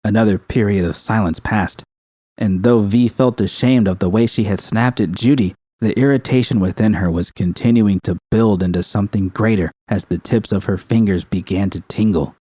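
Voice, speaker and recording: Male audiobook narrator for fiction. Lower register, dark soft tone, dry sound. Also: moderate pace, dry recording. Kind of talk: scripted narration.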